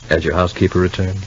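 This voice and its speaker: A protective, exasperated American man in a 1950s radio soap. His voice is very low and his manner sensible. The vintage recording is muffled. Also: slight background noise.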